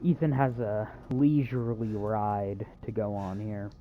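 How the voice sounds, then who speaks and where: A man's voice: fairly low pitch, little pitch movement, unhurried, highs cut off, a little hiss or hum. A man giving casual video game walkthrough commentary, with hesitant, wry, self-deprecating asides.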